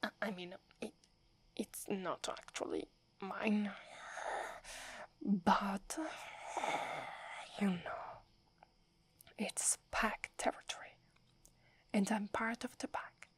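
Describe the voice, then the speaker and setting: A woman with an Italian accent voicing a proud young werewolf woman, whispering softly close to the mic and stammering when flustered. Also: medium pitch, fairly even intonation, slow pace, pauses often, husky. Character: proud young werewolf woman, gruff but secretly fond. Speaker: ASMR roleplay performer. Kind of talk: whispered ASMR roleplay.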